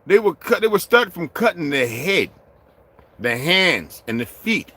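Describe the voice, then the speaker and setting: High, animated, ranting middle-aged man. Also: unhurried. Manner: heated, profane, swings between muttering and shouting. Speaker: vlogger. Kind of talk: profane ranting monologue.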